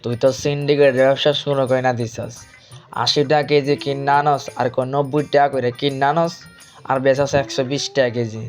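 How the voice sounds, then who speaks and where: A man's voice: medium pitch, flat delivery, normal speed, lightly acted. A man playing an accusing, argumentative village uncle in a cartoon, with a Bangladeshi accent. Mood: indignant, accusing. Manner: scolding, argumentative. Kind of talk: amateur cartoon dialogue. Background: music.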